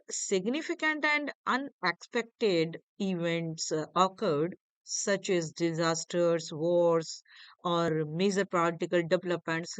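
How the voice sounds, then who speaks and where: A woman with a clear, smooth voice reads out a lesson at a very unhurried pace. Her pitch sits a little low. She delivers it like a slide lecture, haltingly, tripping over the odd phrase. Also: dry recording, rough recording. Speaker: lecturer presenting a history lesson. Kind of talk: slide-by-slide educational lecture, read from notes.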